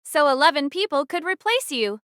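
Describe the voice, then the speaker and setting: A commanding young female captain , played by a woman in a fan audio drama, giving crisp, decisive orders. Her voice sits high and lively, with a bright, sharp edge. The recording is dry and close. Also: brisk, no room sound, heavily acted.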